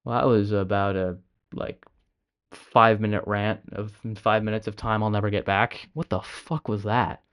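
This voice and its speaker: A man's voice: fairly low pitch, steady tone, normal speed, smooth. A man hosting a reaction video, commenting bluntly and with exasperation, swearing often.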